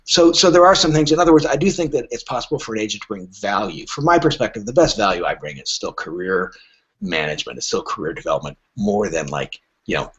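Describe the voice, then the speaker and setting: A man's voice: low-pitched, a bit bright, breathy edge, highs cut off. A middle-aged man speaking conversationally as an interview guest, wry and joking, with anecdotal answers. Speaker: literary agent, podcast guest.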